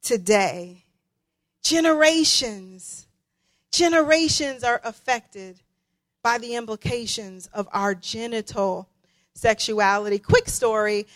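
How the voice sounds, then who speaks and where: A woman preaching a sermon as a guest, emphatic and given to deliberate repetition for weight. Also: a little high, fairly bright tone.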